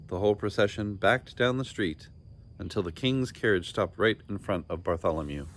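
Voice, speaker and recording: Low-pitched man reading a children's story. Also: animated, normal speed, slight background noise. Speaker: storybook reader. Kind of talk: expressive scripted children's story narration.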